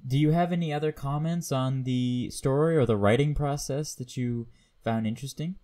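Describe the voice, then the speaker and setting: A man's voice: medium pitch, normal speed, dark tone. A Canadian man hosting a podcast, interviewing in a casual, slightly hesitant conversational way.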